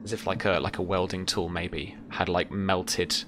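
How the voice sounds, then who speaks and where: A young man narrating a tabletop role-playing game as game master, pausing to search for his words. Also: sits low, flat delivery, noisy background. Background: background music.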